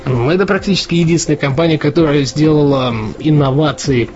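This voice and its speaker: A man's voice: on the high side, fairly quick, darkish tone. A man co-hosting a casual news podcast, talking in a chatty way with lots of fillers and restarts. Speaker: podcast co-host. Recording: dull lo-fi podcast audio with faint music.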